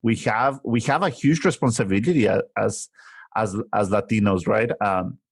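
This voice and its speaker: A man's voice: on the low side, normal speed, vocal fry, husky, dry recording, studio quality. A man from Mexico, a native Spanish speaker with a Mexican accent, interviewing his guest in a thoughtful, reflective way and often checking in with "right?".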